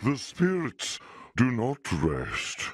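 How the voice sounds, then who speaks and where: A man voicing a solemn, ancient forest spirit in a video game with slow, ominous, husky pronouncements. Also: mid-range voice, huge pitch swings, very noisy. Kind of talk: video game character voice lines.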